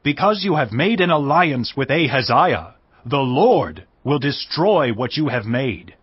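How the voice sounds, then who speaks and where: A man voicing a male prophet who pronounces judgment on a king, with a husky voice and a grave, warning manner. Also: mid-range voice, sing-song, unhurried, no room sound. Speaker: voice actor in an audio Bible drama. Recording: dry, dull lo-fi audio.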